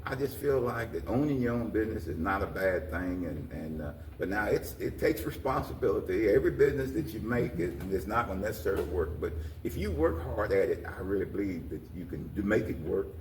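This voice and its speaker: An older American man giving an informal interview, talking in a halting, folksy way with repeated phrases. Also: on the low side, expressive. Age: 60s or older. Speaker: interview guest, community leader. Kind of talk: informal talk-show interview. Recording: roomy echo, distant mic, faint noise.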